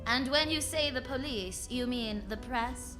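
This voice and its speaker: Actress doing a wisecracking 1940s radio gumshoe gal, highish voice, wry and flirty, music behind. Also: female voice, measured pace. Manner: wry, flirtatious, slangy quips. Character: wisecracking, self-assured woman private eye of old San Francisco. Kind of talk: 1940s radio drama acting with first-person narration.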